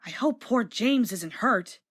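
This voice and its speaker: Woman playing an earnest, high-voiced little tank engine. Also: lively intonation, slow pace, fairly bright tone, breathy edge, full character voice. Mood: worried. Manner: puffing, urgent, emphatic.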